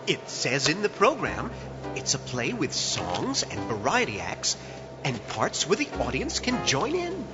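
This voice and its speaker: A man's voice: a little high, huge pitch swings, measured pace. A man playing a prim, easily affronted cartoon husband. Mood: explanatory. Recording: dull lo-fi, music behind.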